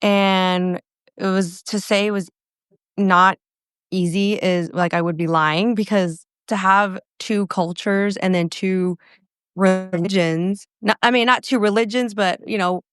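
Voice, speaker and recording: A woman in her thirties from Phoenix, a podcast guest telling her life story in an earnest, halting way, often correcting herself. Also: mid-range voice, fairly even intonation, clean tone, no room sound, clean studio sound. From Phoenix, Arizona, USA. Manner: earnest, halting, with self-corrections and soft laughs. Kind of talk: personal testimony in an interview.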